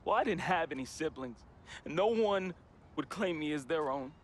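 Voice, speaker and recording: A man's voice: on the high side, expressive, normal speed, a little hiss or hum, lightly acted. A man voicing a heartfelt, troubled young man. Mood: somber. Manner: heartfelt, confessional, casual slang. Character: troubled young man, a friend writing a farewell letter. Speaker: game character narrating a farewell letter. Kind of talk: emotional voice-over monologue. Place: studio voice-over.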